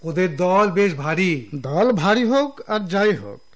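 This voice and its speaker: A man with a Bangladeshi accent playing a timid, deferential junior office clerk in a radio drama, fretful and excitable. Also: sits high, slow pace, full character voice. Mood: gossipy excitement.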